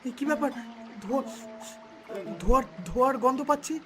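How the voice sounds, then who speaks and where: Man voicing a plaintive high-pitched poor village shopkeeper. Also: fast, music in the background. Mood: alarmed. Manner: plaintive, emotional, repeats words. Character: poor, humble, anxious village shopkeeper and loving father. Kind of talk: melodramatic cartoon voice acting.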